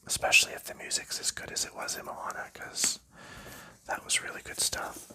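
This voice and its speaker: A man chatting softly in a whisper for an ASMR video, rambling and chuckling lightly now and then. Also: moderate pace. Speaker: ASMR creator.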